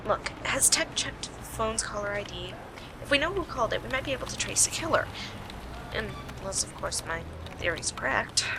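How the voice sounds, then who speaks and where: A wry, bossy female detective in a radio murder mystery, acted by a woman. Her high voice swings up and down a lot, and there is heavy background noise on the amateur recording. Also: lively intonation, normal speed. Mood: exasperated, probing. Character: sharp, sarcastic female detective leading a murder case. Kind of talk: amateur radio play acting. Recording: noisy amateur home recording.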